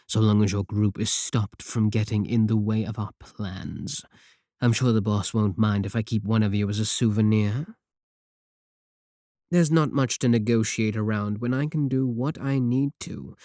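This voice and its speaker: Here is a man acting out a villain from an evil organization in an ASMR audio drama. His voice is low and very mellow, recorded dry. He speaks without hurry, condescending and sardonic, with a soft, steady threat. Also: no echo, lightly acted. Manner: unhurried, condescending, softly threatening. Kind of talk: scripted ASMR audio roleplay.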